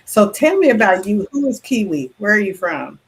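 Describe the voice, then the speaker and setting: A woman's voice: mid-range voice, big pitch swings, moderate pace. A woman hosting a talk show, asking her guest encouraging questions. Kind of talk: interview hosting.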